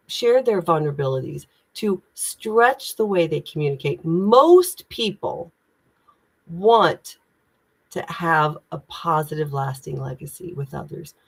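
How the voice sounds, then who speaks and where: A woman's voice: mid-range voice, poor audio. A woman from Indiana with an American Midwestern accent, speaking as a communication coach in an emphatic, inspirational way on a video call. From United States (Indiana). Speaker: guest, executive communication coach. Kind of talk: LinkedIn live conversation.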